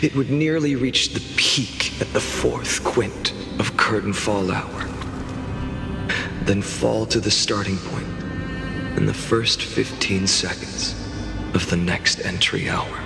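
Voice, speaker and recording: A deep-voiced, world-weary warrior speaks very slowly over prominent music. He is solemn and burdened, with sorrow and quiet wrath under every measured line, in the dramatic manner of a fantasy game. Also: gravelly, in a large hall. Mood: melancholic, reflective. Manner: solemn, measured, weighty delivery. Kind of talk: dramatic game voice acting, narration.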